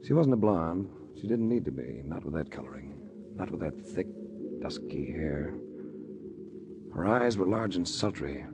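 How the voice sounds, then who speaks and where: An actor playing a tough, wisecracking private detective who narrates in a dry, hard-boiled 1940s radio style. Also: male voice, low voice, lively intonation, unhurried. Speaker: narrator and lead character. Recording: hissy old radio broadcast.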